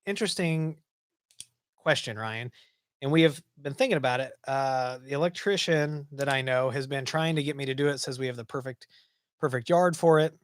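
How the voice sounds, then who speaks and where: A man's voice: mid-range voice, moderate pace, no echo, studio quality. Rambling man on a home-office livestream. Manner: relaxed, rambling, many ums and restarts. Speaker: livestream host. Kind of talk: casual live-stream chat reading viewer comments. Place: small home office, indoors.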